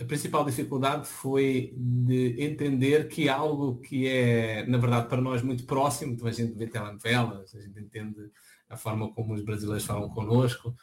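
A man's voice: medium pitch, darkish tone. Portuguese man, hesitant interview talk. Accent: European Portuguese. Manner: hesitant, many restarts and fillers. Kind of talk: relaxed interview conversation.

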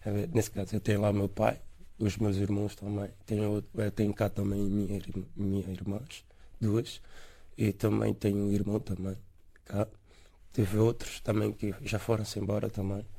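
A young man with a São Tomé Portuguese accent answers interview questions in a halting, easygoing way, letting his phrases fade out softly. His voice sits low and mellow, and it stays fairly flat in pitch. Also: gravelly. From São Tomé and Príncipe. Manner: halting, laid-back, trails off softly at phrase ends.

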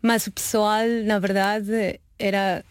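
A woman's voice: medium pitch, flat delivery, takes it slowly. Chatty woman in her late thirties. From Mexico. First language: Spanish. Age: late 30s. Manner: informal, chatty, acting out reported conversations. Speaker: guest, pastry chef. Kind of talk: casual autobiographical storytelling in an interview.

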